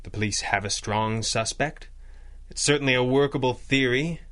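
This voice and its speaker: A man plays a suave masked hero of an old-time radio adventure. His voice is medium in pitch, with a measured, articulate delivery. He comes across as a thoughtful, well-heeled boss with a dry turn of phrase. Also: fairly bright tone, lightly acted. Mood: pensive. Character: suave, thoughtful wealthy masked crime-fighter, calm boss. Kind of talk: 1930s-style radio drama acting.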